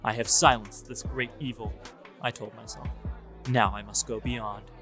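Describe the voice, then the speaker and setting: A man's voice: on the low side, fairly even intonation, moderate pace, close to an everyday voice. A man playing a grandiose, deluded young ninja vigilante, solemn and melodramatic in first-person story narration. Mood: grandiose, declaiming. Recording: music behind the voice.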